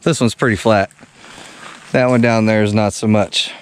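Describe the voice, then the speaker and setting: A man's voice: on the low side, steady tone, normal speed, a little hiss or hum. A man in his thirties casually narrating a vlog outdoors in a forest, talking in a laid-back, folksy, rambling way into a handheld camera. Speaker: vlogger. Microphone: handheld action camera.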